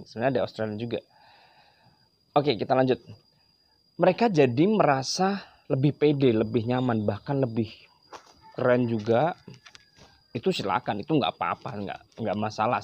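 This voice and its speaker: A young man from Indonesia, hosting and explaining in a relaxed, conversational way, with frequent fillers. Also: mid-range voice, lo-fi sound. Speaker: English teacher, channel host. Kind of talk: casual explainer talk to camera.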